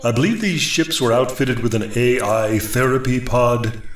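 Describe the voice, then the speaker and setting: A man's voice: mid-range voice, normal speed, natural voice. A man playing a grand, courtly godlike emissary who speaks in a formal, archaic way in an audio comedy drama. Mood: stern. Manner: formal, lofty, archaic phrasing. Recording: roomy echo on voice.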